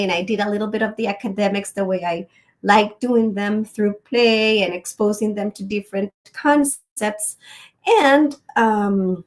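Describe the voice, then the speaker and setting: A middle-aged woman presents a live webinar into a computer microphone. She speaks unhurriedly and at a mid-range pitch. She tells personal stories in a friendly way, with plenty of ums. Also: darkish tone. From Costa Rica.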